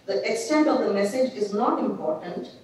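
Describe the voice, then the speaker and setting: A middle-aged woman reading a prepared lecture at a desk mic in a dim gallery, measured and reflective, with small restarts. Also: mid-range voice, animated, darkish tone. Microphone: gooseneck desk mic. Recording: echoey gallery hall, mic at a distance.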